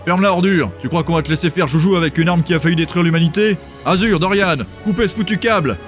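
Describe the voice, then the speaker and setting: A furious man in a fantasy audiobook , played by a male narrator, barks orders in a raised pitch. He is insistent and confrontational, rattling out words quickly over faint music. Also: natural voice. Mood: angry. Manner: forceful, insulting, commanding. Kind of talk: dramatic audiobook dialogue.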